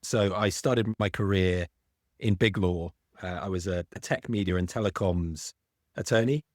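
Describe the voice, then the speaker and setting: A man's voice: low voice, moderate pace, darkish tone, no room sound, clean studio sound. A middle-aged man with a British accent, a podcast guest who explains at length, often restarting on small words. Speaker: guest, legal tech founder. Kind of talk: podcast interview.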